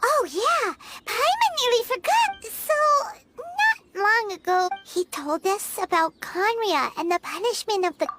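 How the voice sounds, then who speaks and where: A woman voicing a tiny childlike fairy companion in a video game, curious, pouty and expressive. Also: very high-pitched, unhurried, background noise, full character voice. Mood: earnest, curious. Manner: childlike, expressive, speaks of herself in third person. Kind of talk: video game character dialogue. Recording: music behind the voice.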